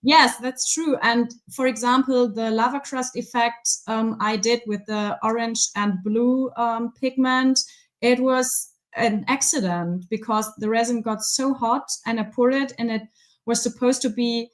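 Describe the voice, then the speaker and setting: A woman's voice: a little high, steady tone, a little slow. German-accented woman, hesitant casual chat. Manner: hesitant, many ums, laughs easily. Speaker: guest resin artist.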